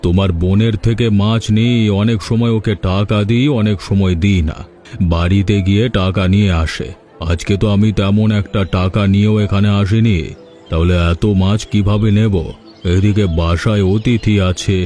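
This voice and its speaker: Man as well-to-do village buyer, cartoon, relaxed dark tone, unhurried, music behind. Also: lowish voice, natural voice. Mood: calm, businesslike. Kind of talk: Bengali cartoon dialogue. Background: background music.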